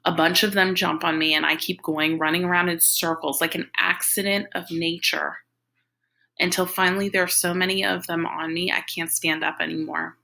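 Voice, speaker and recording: Woman reading as raw, gravelly teenage boy. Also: lowish voice, level tone, normal speed, bright, forceful tone. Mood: frantic, defiant. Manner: slangy, run-on first-person telling. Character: big troubled teenage boy narrator, raw and defiant. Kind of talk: audiobook-like novel reading.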